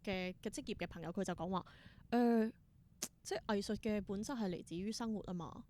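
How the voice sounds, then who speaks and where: A woman's voice: mid-range voice, a little fast, mellow. Young Hong Kong woman telling stories. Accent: Hong Kong Cantonese. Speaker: podcast guest, theatre performer. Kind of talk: casual interview storytelling.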